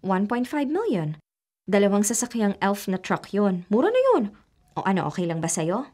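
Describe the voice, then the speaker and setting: An actress with a Filipino accent playing a practical, doting and excitable middle-aged wife and mother in a radio drama. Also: mid-range voice, normal speed. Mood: excited persuasion. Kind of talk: Tagalog radio drama acting.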